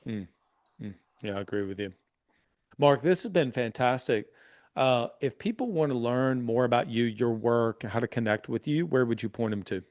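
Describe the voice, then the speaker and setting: A man hosting a business podcast, chatting casually with his guest and reading sponsor plugs in an energetic, affirming way. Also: mid-range voice, normal speed, no echo, clean studio sound. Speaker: podcast host. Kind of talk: casual interview and ad reads.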